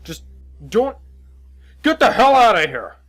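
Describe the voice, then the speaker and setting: A man voicing an angry, menacing policeman who shouts commands in a story. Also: very high-pitched, big pitch swings, unhurried, pitched above the performer's own voice, heavily acted. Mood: urgent, threatening.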